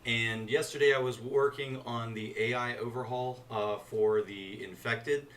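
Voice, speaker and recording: A man's voice: medium pitch, measured pace, far from the mic. A man with a congested, scratchy throat, casually talking through his game modding work on a stream with many fillers. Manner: relaxed, rambling, many uh fillers. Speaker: game modder. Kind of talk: casual screen-share dev stream.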